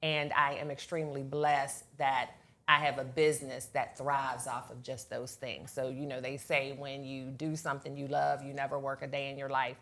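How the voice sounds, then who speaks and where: A woman's voice: extremely low pitch, little pitch movement, normal speed, a bit bright. A woman hosting a women's panel discussion in a conversational way, speaking to the group.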